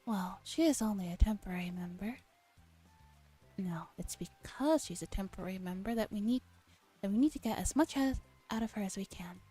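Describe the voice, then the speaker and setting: Female game streamer, relaxed mellow tone, playful dialogue read-aloud for ASMR, faint music behind. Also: medium pitch, a little fast, breathy edge. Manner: playful, acts out dialogue while reading. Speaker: streamer reading a visual novel aloud.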